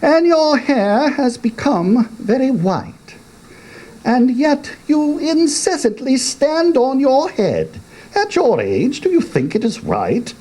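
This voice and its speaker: A man acts out a nosy young son in a comic storybook poem. His questions are emphatic and incredulous, high in pitch and slightly husky, with wide swoops. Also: very animated, takes it slowly, warm tone, full character voice. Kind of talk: comic dramatic poetry reading.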